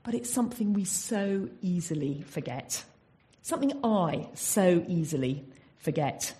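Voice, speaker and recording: A woman's voice: lowish voice, lively intonation, measured pace, warm tone. An English woman giving a Bible talk in an earnest teaching manner, often asking her listeners rhetorical questions. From England. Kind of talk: sermon.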